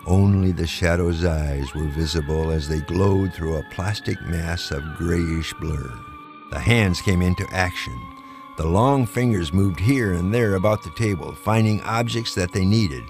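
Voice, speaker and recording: A man reads pulp mystery prose as a dramatic narrator. His voice is deep and moves expressively in pitch. Music plays clearly behind him. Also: wildly swooping pitch, a little slow. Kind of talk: dramatic audiobook-style reading of pulp fiction.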